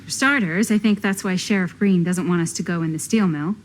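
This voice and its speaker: An actress playing a cool, dry young American woman in a video game, understated and deadpan as someone in the know. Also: on the low side, fairly quick, slight background noise, lightly acted, rough recording. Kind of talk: naturalistic video game acting.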